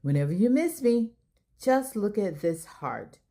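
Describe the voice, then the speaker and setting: A woman voicing a gentle, reassuring young mother in a children's storybook, warm and encouraging. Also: medium pitch, sing-song, unhurried. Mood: gentle comfort.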